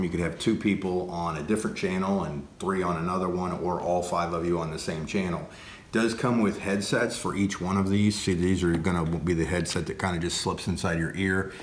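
A man's voice: deep voice, a little hiss or hum. A middle-aged American man giving a casual, unscripted product review in a conversational, explanatory way. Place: open-plan dining room at home, indoors.

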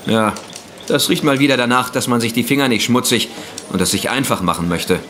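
An actor playing a thoughtful, collegial newspaperman in a German audio drama, with a formal, deliberate manner. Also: medium pitch, expressive, a bit bright, natural voice. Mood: wry, collegial.

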